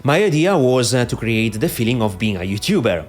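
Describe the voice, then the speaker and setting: A middle-aged man with a strong Italian accent talks straight to camera about online marketing, his pitch rising and falling a lot and jumping up on key points. He fills pauses with frequent "uh"s, and soft background music runs under his voice on a podcaster mic. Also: sing-song. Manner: frequent "uh" fillers, emphatic lifts on key phrases. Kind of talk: direct-to-camera advice talk. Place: in front of a green screen. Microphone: podcaster microphone.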